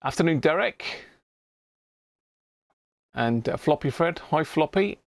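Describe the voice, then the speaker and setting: British bloke doing a chatty live stream, bit of fry in his voice, dry sound, informal and matey. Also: fairly high pitch, animated, takes it slowly, dry recording. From United Kingdom. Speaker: livestream host. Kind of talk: casual live chat to viewers.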